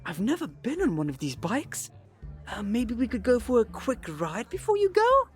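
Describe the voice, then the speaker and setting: A woman's voice: a little high, very animated, moderate pace, soft background music. A woman playing a cheerful, guileless and slightly naive host in an audio drama. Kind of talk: animated audio drama acting.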